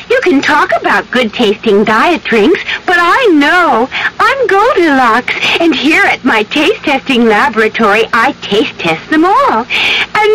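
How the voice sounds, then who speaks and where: Casting: perky storybook heroine for radio ad, very animated, high, boastful, noisy old broadcast. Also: female voice, exaggerated voice. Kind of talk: 1970s radio commercial acting.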